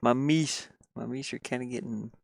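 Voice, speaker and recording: A man's voice: medium pitch, steady tone, moderate pace, gravelly, no echo, studio quality. A man talking himself through his work in a casual think-aloud commentary, muttering playfully. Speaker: game developer streaming his pixel art work.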